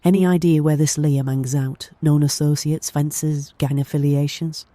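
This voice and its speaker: An actor playing a brisk senior police detective in an audio drama, with a dry, pedantic manner. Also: male voice, a little high, level tone, mellow, no echo, natural voice.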